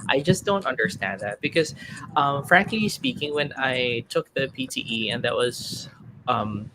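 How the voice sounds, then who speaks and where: A man's voice: expressive, unhurried, background noise. Chatty man coaching test takers. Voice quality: fairly high-pitched for a man. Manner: explanatory, friendly, thinks aloud with many fillers. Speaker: PTE speaking coach. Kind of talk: live webinar Q&A.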